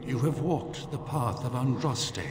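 A man's voice: medium pitch, unhurried, natural voice. A man playing an ancient, solemn temple guardian in a video game, stately and ceremonial. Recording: hall reverb, loud ambient noise.

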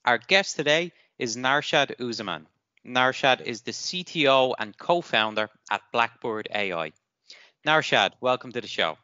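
A man's voice: medium pitch, animated, normal speed, bright, forceful tone, no room sound, muffled recording. A man hosting a podcast, introducing and interviewing his guest. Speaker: podcast host.

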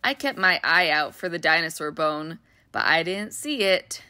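Female storyteller, bright and clear, warm read-aloud manner for kids, dry close mic. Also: low voice, moderate pace, no room sound. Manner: gentle, expressive, child-friendly reading. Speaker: children's book read-aloud host.

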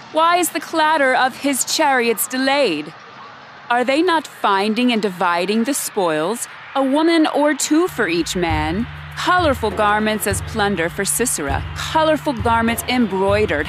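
A woman playing an anxious, haughty, greedy mother of a general in an amateur audio drama, fretful and imperious. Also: on the high side.

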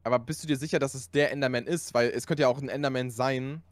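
A man's voice: mid-range voice, steady tone, quick pace, slight background noise. A man chatting with a friend during a game, offering helpful suggestions. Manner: helpful, suggesting ideas, laughs easily. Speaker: fellow player. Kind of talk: casual chat over voice call.

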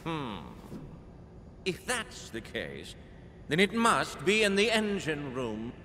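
An actor playing a sneering, pompous military general villain in a video game, theatrical and gloating. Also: male voice, a little high, big pitch swings, slow, background noise. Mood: sly, commanding. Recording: long reverb, music behind.

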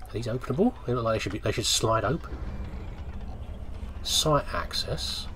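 A man's voice: mid-range voice, expressive, moderate pace, noisy background. A man with a British accent giving casual, chatty game commentary, playfully muttering asides as he thinks aloud. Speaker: gamer doing a let's play. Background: video game ambience.

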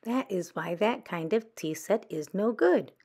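A woman's voice: medium pitch, animated, unhurried, warm tone, husky, pitched above the performer's own voice. A woman voicing a sly, bossy young girl badger in a picture book, persuasive and coyly evasive. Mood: smugly sure. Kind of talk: children's picture book read-aloud.

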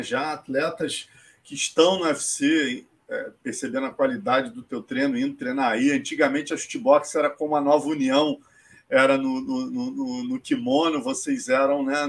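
A middle-aged man with a Brazilian Portuguese accent asking informal, halting questions over a video call from his home office. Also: medium pitch, creaky. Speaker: interviewer. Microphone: earphone headset on video call.

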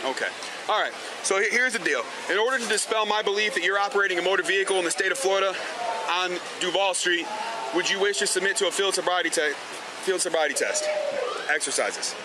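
A man's voice: high voice, fast, background noise. A man, a police officer giving procedural questions and orders outdoors into a body camera. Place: outdoors on a street at night. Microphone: body-worn camera. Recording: body camera on a night street, music behind.

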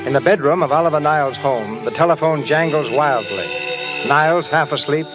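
A man narrates as a 1930s radio announcer, in a slightly high voice. Loud music plays behind him on the old broadcast. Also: fairly quick, poor audio.

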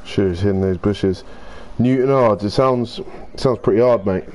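A young man streaming a shooter game, bantering crudely and yelling when excited. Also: on the low side, normal speed, slight background noise, low-quality recording. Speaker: gaming streamer. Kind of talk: casual gameplay chat with swearing.

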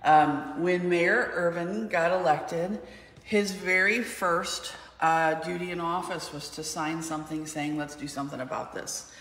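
A middle-aged woman explains and recounts as an interview guest. Her voice is low for a woman. The room gives it noticeable echo. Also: steady tone, measured pace, fairly bright tone. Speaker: guest, outreach and networking representative. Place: large wood-paneled room indoors. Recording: echoey reverberant room.

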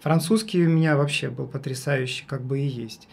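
A man with a high tenor voice, talking casually as an interview guest, haltingly and repeating his phrases for emphasis. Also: vocal fry, slightly rough. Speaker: guest, singer and vocal teacher. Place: small radio studio. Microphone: studio condenser microphone.

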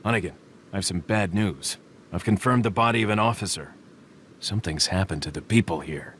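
An actor playing a cool, wry young American government agent in a video game, speaking tersely. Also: male voice, sits low, fairly quick, noisy background, natural voice. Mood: businesslike. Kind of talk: video game cutscene acting.

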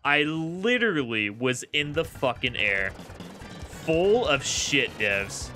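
A man's voice: a little high, very animated, slow pace, sharp tone, faint music behind. A young man streaming a retro video game, commenting casually, with frustrated swearing. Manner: frustrated, swearing, laid-back. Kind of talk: casual live gameplay commentary.

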